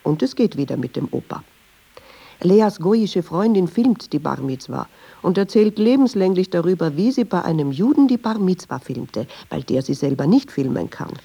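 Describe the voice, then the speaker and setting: A woman's voice: fairly low pitch, big pitch swings, normal speed, creaky, warm tone, breathy edge, exaggerated voice. An actress playing a candid, uneasy middle-aged woman in a radio drama, speaking reflectively in short, observant clauses. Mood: reflective, insistent. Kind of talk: radio drama dialogue and inner monologue.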